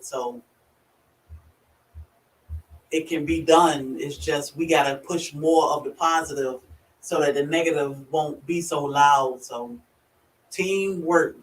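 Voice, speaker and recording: Country-voiced Virginia woman in an echoey room. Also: sits low. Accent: rural Virginia. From Virginia, USA. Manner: chatty, laughs often, greets viewers by name. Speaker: livestream host. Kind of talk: casual live chat. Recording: echoey room, far from the mic.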